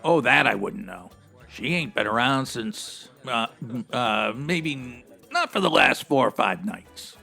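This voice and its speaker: A man playing a slangy, wordy strip club manager with a raspy, theatrical delivery, in a comedic old-time radio detective style. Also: medium pitch, expressive, unhurried, bright, forceful tone, soft background music, full character voice. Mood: guarded. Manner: hipster slang with florid big words, theatrical. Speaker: actor in a comedy audio drama.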